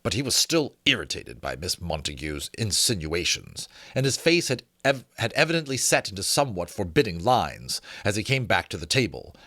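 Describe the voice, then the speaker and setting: Expressive male storyteller, lowish and lively. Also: moderate pace, hardly any pauses, dry recording. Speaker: audiobook reader. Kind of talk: expressive dramatic narration of a novel.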